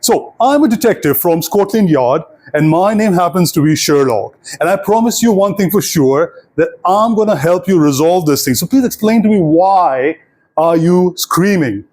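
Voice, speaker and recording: A man's voice: high voice, very animated, normal speed, few pauses, darkish tone. A man playing a formal, earnest detective who questions insistently, as a character in a told story. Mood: earnest, polite. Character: earnest male detective, polite but increasingly impatient.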